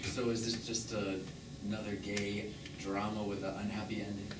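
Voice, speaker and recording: A man's voice: fairly low pitch, flat delivery, moderate pace, natural voice. Noisy, far-off actor as flippant middle-aged man. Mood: dry, challenging. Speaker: stage actor. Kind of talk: live stage drama acting. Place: small theatre, recorded from a distance. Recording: very noisy, echoey stage recording from afar.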